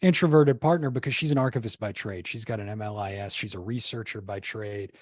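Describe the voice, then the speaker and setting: A man's voice: fairly low pitch, a little fast, no echo, studio quality. A man, a podcast guest, telling history in a casual, rambling way full of fillers and restarts. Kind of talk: casual conversational storytelling.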